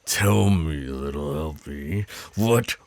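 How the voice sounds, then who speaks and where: An extremely deep, husky werewolf, acted by a man for an ASMR roleplay. He is burly and territorial, drawling his teasing threats slowly and possessively. The sound is close and dry. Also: lively intonation, darkish tone, no echo, full character voice. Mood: threatening. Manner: slow, drawling, taunting and possessive.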